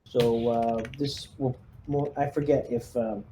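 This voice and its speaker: A man's voice: medium pitch, steady tone, takes it slowly, warm tone, slight background noise, low-quality recording. A man playing a squad commander in a tabletop game, giving orders in a casual, hesitant, polite manner.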